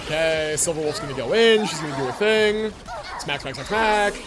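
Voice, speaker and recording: A man livestreaming a video game with casual commentary, thinking out loud in slangy, sweary talk. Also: fairly high pitch, lively intonation, moderate pace, over music. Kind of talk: casual gaming stream commentary.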